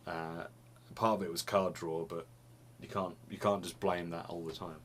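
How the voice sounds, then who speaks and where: Young man, very low voice, sniffly, rambling casual chat, faint room hiss, home desk camera mic. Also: flat delivery, normal speed, fairly bright tone. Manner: rambling, restarts phrases, laughs often. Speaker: vlogger.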